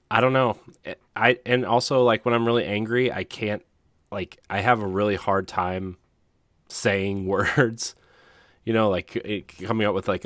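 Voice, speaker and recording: A man co-hosting a podcast in casual chat, talking in a rambling, halting, self-deprecating way. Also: on the low side, a bit bright, dry recording, studio quality. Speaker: podcast co-host.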